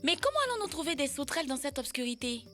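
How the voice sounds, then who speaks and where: Inquisitive child, high, swooping intonation, children's cartoon, music behind. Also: female voice, big pitch swings, rapid-fire, sharp tone, full character voice. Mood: doubtful. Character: animated, inquisitive young child playmate. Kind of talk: educational children's cartoon dub.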